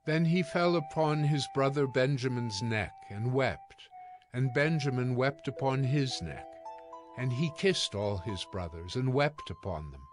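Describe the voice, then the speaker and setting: A man narrating a dramatized Bible recording in a measured, storytelling manner. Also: medium pitch, expressive. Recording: music behind the voice.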